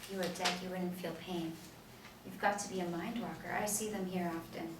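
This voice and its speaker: A woman's voice: lowish voice, fairly even intonation, brisk, natural voice. Woman playing a timid Victorian ghost girl, echoey room. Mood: wistful. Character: sixteen-year-old Victorian ghost girl, timid, wistful, curious. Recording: echoey room, distant mic, background noise.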